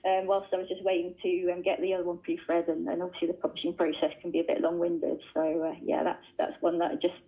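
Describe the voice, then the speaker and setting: A woman's voice: lowish voice, little pitch movement, fast talker, hardly any pauses, mellow, dull audio. A woman children's author chatting as a radio guest from home, talking freely with lots of fillers and restarts. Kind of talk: casual interview answers. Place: at home, joining remotely. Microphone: remote line into radio studio.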